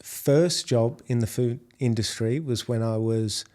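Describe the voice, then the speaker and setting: A middle-aged Australian man with an Australian accent, answering podcast questions in a measured way and often restarting on repeated words. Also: mid-range voice, mellow. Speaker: podcast guest, food delivery executive. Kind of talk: business interview talk.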